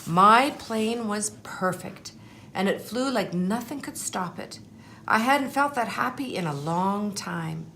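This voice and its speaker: A woman voicing a humble, anxious but determined egg telling his life story in a storybook, in an earnest, measured way. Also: medium pitch, lively intonation, pushed and bright, a little hiss or hum, full character voice. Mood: hopeful. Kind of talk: storybook first-person narration.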